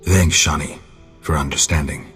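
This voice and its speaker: An actor playing a stoic, gruff monster hunter turned investigator in a video game, terse and flat in delivery. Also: male voice, extremely low pitch, moderate pace, faint music behind, full character voice. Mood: matter-of-fact.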